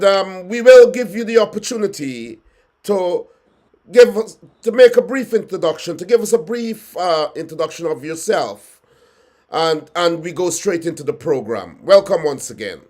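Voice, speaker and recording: High-voiced male talk show host. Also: expressive, unhurried, darkish tone. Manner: formal, repeats phrases and restarts with fillers. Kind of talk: formal studio interview.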